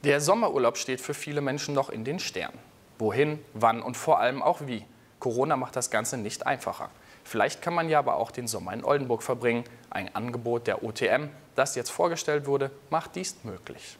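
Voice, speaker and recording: A man's voice: mid-range voice, fairly quick. A man in his twenties presenting scripted news links in a studio.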